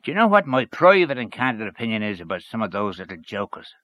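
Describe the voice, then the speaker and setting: A man's voice: lowish voice, big pitch swings, fast, fairly bright tone, pitched above the performer's own voice. A man voicing a blustering, scornful middle-aged man who grumbles and gossips. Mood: grumbling.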